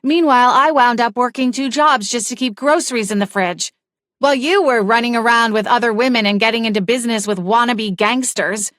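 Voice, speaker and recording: A woman's voice: medium pitch, moderate pace, a bit bright, dry recording, lightly acted. A woman voicing a bitter, scheming middle-aged wife who speaks sharply and with scorn, in a dry recording. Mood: scornful.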